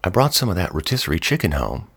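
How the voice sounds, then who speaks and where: A man's voice: deep voice, big pitch swings, quick pace, husky, no room sound, voice pushed up, heavily acted. A man putting on a nervous, eager woman. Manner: soft, anxious, pleading. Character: nervous, eager-to-please woman in her mid-thirties. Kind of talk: audiobook character voice.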